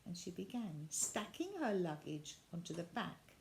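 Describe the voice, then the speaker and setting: Very animated woman storyteller. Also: fairly low pitch, a little slow, vocal fry, poor audio. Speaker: children's storyteller. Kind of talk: expressive storybook narration. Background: added sound effects and faint noise.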